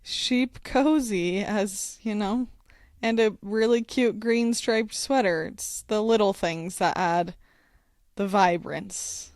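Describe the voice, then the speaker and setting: Woman co-host, calm and reflective, takes her time, warmly encouraging her sister. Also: medium pitch, slow pace, no echo, clean studio sound. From Wyoming. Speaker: podcast co-host. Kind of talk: casual chat.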